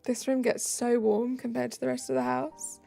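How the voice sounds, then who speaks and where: Woman, southern English accent, higher voice, gravelly, chatty streamer, faint music behind. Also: level tone, normal speed, warm tone. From south of England. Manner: chatty, reads out and answers chat, trailing fillers. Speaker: live streamer. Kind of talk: casual chat, answering viewer comments while gaming. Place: home room. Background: faint background music.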